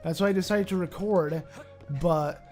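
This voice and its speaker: A man with a raspy throat from being sick, chatting casually as he plays through a game, rambling with lots of filler words. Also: sits high, normal speed, darkish tone, faint music behind. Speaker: let's play gamer. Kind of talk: casual gameplay commentary.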